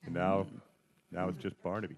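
A man's voice: deep voice, flat delivery, brisk, a crowd in the background. A man giving dry, wry commentary in casual panel chat.

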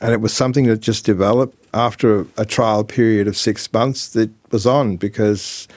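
A man's voice: lowish voice, fairly even intonation, normal speed, a little mellow, no room sound. A man of Greek Cypriot background who manages broadcast programs, explaining matter-of-factly in a radio interview.

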